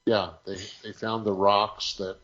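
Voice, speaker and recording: A man's voice: lowish voice, flat delivery, unhurried, a bit bright. A man co-hosting a podcast in casual conversation. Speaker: podcast co-host. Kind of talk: casual chat. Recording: dull, lo-fi sound.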